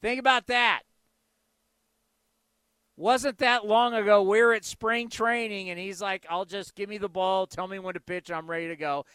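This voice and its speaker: A man with a high, clear voice hosts a sports radio show, talking in an impassioned, emphatic, conversational way. The sound is dry and close. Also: fairly even intonation, moderate pace, sharp tone, dry recording.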